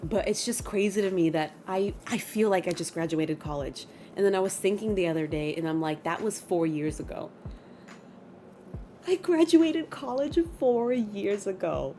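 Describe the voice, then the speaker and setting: A woman's voice: medium pitch, moderate pace, a little hiss or hum. A woman in her mid-twenties chatting casually on a gaming livestream, reading chat and reacting aloud. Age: 20s. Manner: chatty, animated, reacts with gasps and laughs.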